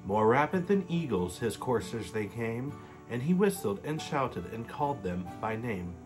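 A man in his late twenties, sitting on a living room couch and reading a Christmas story aloud in a gentle, casual way. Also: mid-range voice, big pitch swings, measured pace, music in the background. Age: late 20s. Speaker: storybook reader. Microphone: webcam facing him at close range.

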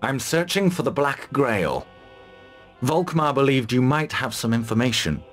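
An actor playing a bold, resolute mercenary commander in a video game cutscene, gruff and blunt in delivery. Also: male voice, medium pitch, lively intonation, measured pace, fairly bright tone, soft background music.

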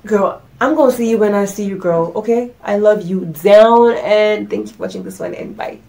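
A woman's voice: medium pitch, normal speed, gravelly, mellow. A young woman chatting candidly to camera in her bedroom as a vlogger, sick and stuffy, losing her voice, restarting her sentences often. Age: young adult. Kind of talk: casual chat to camera. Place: bedroom, indoors. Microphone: camera in front, medium framing.

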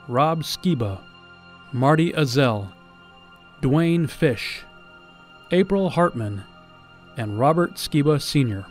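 A man reading a scripted credits announcement as the announcer. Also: mid-range voice.

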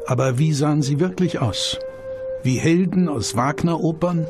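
Male narrator, fairly high voice. Deliberate, dramatic documentary storytelling over prominent, loud music. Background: loud background music.

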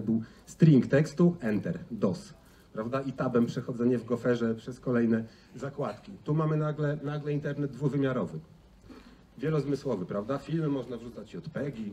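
A man's voice: mid-range voice, dark tone. A middle-aged man giving an informal conference talk in a conversational way, often adding tag questions. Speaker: conference speaker. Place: large indoor auditorium stage. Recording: distant, noisy stage sound in a hall.

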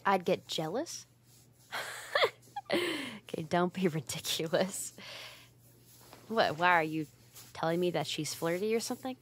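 A woman's voice: fairly low pitch, expressive, unhurried, long pauses, a bit bright. A woman playing a flustered, easily embarrassed tsundere roommate in an ASMR roleplay, stammering and defensive but secretly fond. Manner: stammering, defensive, huffy, hesitant.

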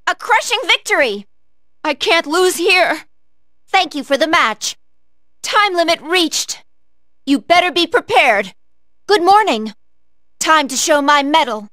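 A woman's voice: very high voice, sharp tone, dry recording, clean studio sound, full character voice. A woman playing an earnest, polite young female android fighter in a video game, calling out clipped, formal battle commands. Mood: polite, composed. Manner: clipped, formal machine-like status callouts.

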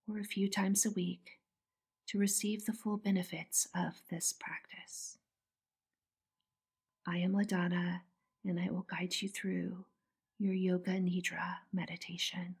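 A woman softly narrating a guided meditation in a soothing, breathy manner. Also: fairly low pitch. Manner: soft, breathy, soothing delivery.

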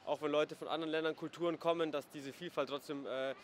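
A man's voice: fairly high pitch, fairly even intonation, fast, a little hiss or hum. Young man, hesitant street interviewee. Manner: hesitant, searching for words. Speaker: passer-by interviewee. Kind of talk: street vox pop. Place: outdoors on a city street at night. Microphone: handheld mic held by interviewer.

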